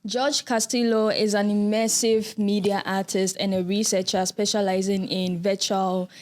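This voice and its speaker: A young woman hosting an interview podcast, opening with a measured, scripted introduction. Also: medium pitch, little pitch movement, darkish tone. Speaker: podcast host. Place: podcast studio with sofas. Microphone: studio mic on boom arm.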